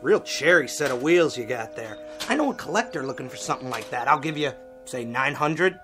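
A man's voice: medium pitch, animated, a little fast, over music, close to an everyday voice. An actor in a radio drama playing a blunt working-class man who talks in slang. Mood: plain, matter-of-fact.

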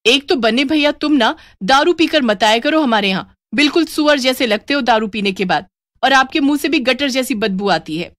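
A woman puts on an angry cartoon village wife. Her voice jumps higher as she scolds sharply, very animated and dry. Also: moderate pace, no echo. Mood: angry scolding. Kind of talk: cartoon storytelling.

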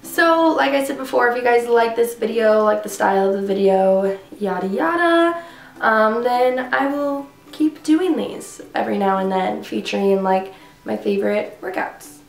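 Congested, chatty young woman with gravelly fry. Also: fairly high pitch, lively intonation, moderate pace. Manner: chatty, rambling with many fillers. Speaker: fitness vlogger. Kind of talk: casual chat to camera. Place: living room at home. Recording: echoey room.

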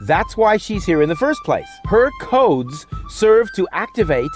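A man's voice: high-pitched, big pitch swings, measured pace, soft background music. An actor playing a cocky, goofy young man in a video game, glib and theatrical. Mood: earnest. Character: cocky, goofy young man, giggling self-appointed resistance schemer. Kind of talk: video game cutscene voice acting.

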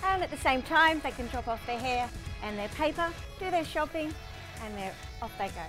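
A woman's voice: high-pitched, lively intonation, moderate pace. A middle-aged woman with an Australian accent, explaining a service in an upbeat, enthusiastic way in a promotional interview. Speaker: shop owner being interviewed. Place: stockroom of a shop, later outdoors by bins. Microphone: lapel mic. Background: background music.

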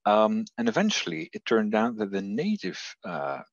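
Belgian-accented man, deep voice, halting conference talk over a muffled, dry video call line. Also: very animated, vocal fry, dry recording. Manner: hesitant, many fillers and restarts. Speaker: researcher presenting.

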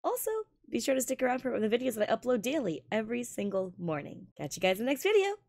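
A woman streamer chatting playfully and casually to viewers, expressive and slangy, close on a studio microphone. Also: on the high side, fast talker.

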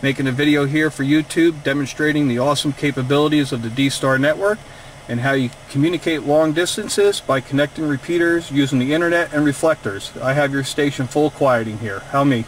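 A man's voice: a little high, a little fast, low-quality recording. A man hosting an instructional radio gadget demonstration, speaking from inside a vehicle. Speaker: radio hobbyist video host. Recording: steady vehicle and fan noise.

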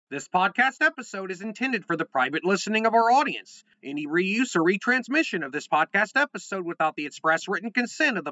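An American man with a high, forceful voice reads scripted podcast host lines. The recording is very dry and slightly dull. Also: no echo.

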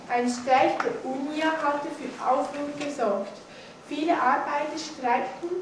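A young female student reads out a news bulletin in a high voice. She goes slowly and carefully, tripping on the odd word. The classroom rings with strong echo around her. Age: teenager. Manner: careful reading with stumbles over words. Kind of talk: read-aloud news bulletin. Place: indoor school classroom, seated at a desk. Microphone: distant camera microphone. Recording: echoey classroom, distant mic, faint noise.

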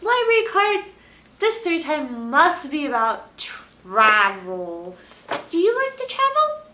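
A woman's voice: sits high, huge pitch swings, slow, bright, forceful tone. A middle-aged American woman presenting a children's story time with exaggerated expression, as a librarian talking to young children. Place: indoor library room, seated on a couch. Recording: roomy, distant mic, faint noise.

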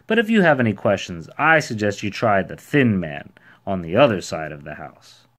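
A man voicing a proud, cheery storybook man who declares things with bright self-importance. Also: fairly low pitch, big pitch swings, normal speed. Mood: proud, cheerful. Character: proud, cheery, impatient ordinary man posing as a giant. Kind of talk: storybook character voice.

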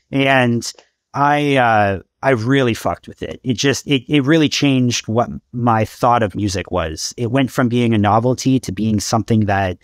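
Casting note: thirtyish man from Canada, lowish voice, dry close sound, hesitant guest on a video call. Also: dry recording. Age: 30s. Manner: thoughtful, hesitant, many fillers and restarts. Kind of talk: casual interview talk. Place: home room with shelves of CDs. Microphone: headphones, video call.

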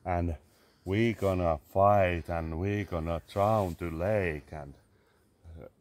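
Slow, deep Finnish-accented man. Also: darkish tone. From Finland. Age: 30s. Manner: halting, many "uh" fillers, swearing, sipping a drink. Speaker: vlogger. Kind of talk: casual rambling selfie vlog. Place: garden among trees, outdoors. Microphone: phone held at arm's length.

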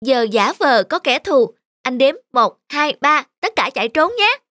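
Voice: high voice, sing-song, unhurried, no echo, clean studio sound, heavily acted. An actor voicing an eager, naive young chameleon child in a children's cartoon, with music behind. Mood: eager, playful. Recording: loud music over the voice.

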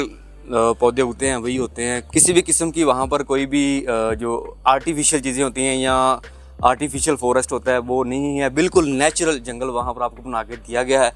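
A man in his thirties with a Pakistani Urdu accent walks viewers through a property, speaking into a handheld mic outdoors and in bare rooms. His delivery is salesy and direct, broken up by frequent fillers. His pitch sits at an ordinary middle level for a man. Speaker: real estate agent presenting a housing project. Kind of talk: property tour walkthrough to camera. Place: outdoors at a construction site, then inside an unfinished house and on its roof.

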